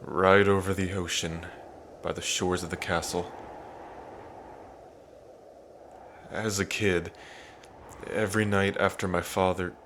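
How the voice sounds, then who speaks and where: A man's voice: low voice, level tone, normal speed, fairly bright tone, a little hiss or hum. A man playing a cocky yet tender young dragon king in his twenties, speaking casually and hesitantly to the listener in an intimate ASMR roleplay. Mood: soft, wistful. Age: 20s. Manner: casual, hesitant, trailing phrasing.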